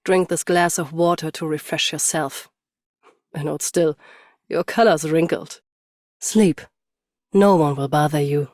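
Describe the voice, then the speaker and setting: A woman's voice: sits low, fairly even intonation, unhurried, long pauses, a little mellow, dry recording, studio quality. A woman playing a stoic, battle-hardened champion swordswoman and bodyguard in a video game, restrained and commanding. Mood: calm, dry. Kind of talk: scripted game character voice lines.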